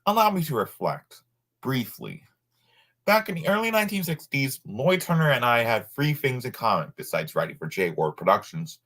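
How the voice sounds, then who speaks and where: A man reading a nonfiction book aloud informally, sometimes halting over words and adding asides. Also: mid-range voice, big pitch swings, a bit bright.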